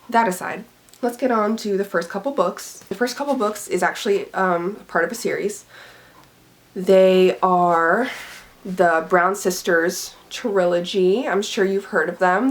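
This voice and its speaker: A young woman talks casually to camera in a slightly low voice. She is a little sick, so her voice comes out raspy and scratchy. She rambles, filling her sentences with "like" and "um". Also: a little slow. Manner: chatty, rambling, lots of "like" and "um". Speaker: book vlogger.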